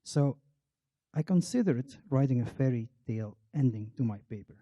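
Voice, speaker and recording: A man's voice: medium pitch, lively intonation, normal speed, mellow, no echo, studio quality. A middle-aged Belgian man giving a public talk, telling a wry, self-deprecating personal story. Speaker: marketing researcher on a panel.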